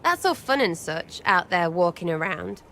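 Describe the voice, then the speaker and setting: A woman's voice: on the low side, big pitch swings, normal speed, bright, forceful tone, a little hiss or hum, full character voice, rough recording. An actress voicing a cheeky, irreverent young elf woman who teases and uses crude slang. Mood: teasing. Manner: playful, teasing, crude slang. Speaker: companion character.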